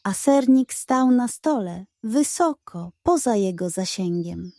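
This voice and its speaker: A woman storyteller with a fairly high, mellow voice reads a children's bedtime tale. Her phrasing is slow, deliberate and expressive, in a close, dry recording. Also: no room sound.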